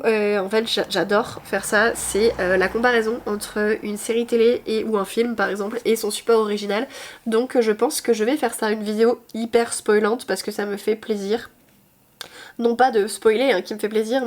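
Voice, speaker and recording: A young woman with a stuffy, nasal voice from a cold, chatting casually and hesitantly about her reading, with many fillers and self-mocking asides. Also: fairly high pitch. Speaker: booktuber. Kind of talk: casual reading vlog chat.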